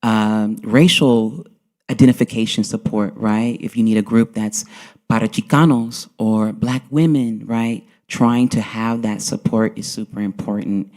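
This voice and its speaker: A man's voice: lowish voice, fairly even intonation, measured pace, a little mellow. A man speaking earnestly as a panelist at a conference, often checking in with "right?". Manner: earnest, frequent "right?" tags. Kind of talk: panel discussion. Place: conference session.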